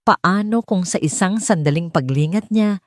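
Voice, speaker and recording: A woman's voice: on the low side, moderate pace, no room sound. A woman with a Filipino accent reading a scripted dramatic story as an audiobook narrator.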